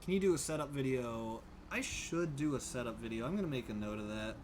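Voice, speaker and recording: A man's voice: medium pitch, animated, brisk, a little hiss or hum. A young man livestreaming a driving game, chatting casually, thinking aloud and thanking viewers by name. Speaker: streamer. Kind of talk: casual livestream chat while gaming.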